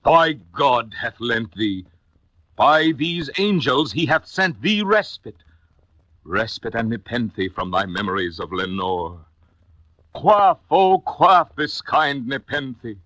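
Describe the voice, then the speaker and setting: A man's voice: mid-range voice, very animated, takes it slowly, sharp tone, no echo, pitched above the performer's own voice, exaggerated voice. A man playing a grief-maddened, desperate man in an impassioned, theatrical 1950s poetry recitation. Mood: anguished outcry.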